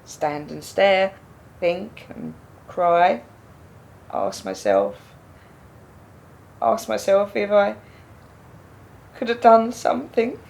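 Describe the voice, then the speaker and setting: An actress playing a quiet, pensive woman burdened by doubt in a radio play, speaking in a reflective, halting way. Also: medium pitch, expressive, gravelly, slight background noise, heavily acted. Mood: anguished, doubting. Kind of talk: radio play dialogue, naturalistic.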